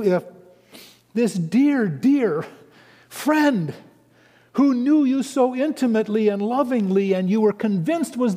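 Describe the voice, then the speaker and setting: A man preaching a sermon as a pastor, animated and earnest, with chatty asides to the congregation. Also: sits high, in a large hall.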